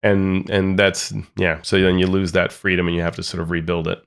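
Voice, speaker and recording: A man's voice: low voice, little pitch movement, fairly quick, creaky, clear, resonant tone. A man co-hosting a tabletop gaming podcast, talking in an easygoing, casual way. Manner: easygoing, chuckles and backs up his partner. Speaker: podcast co-host.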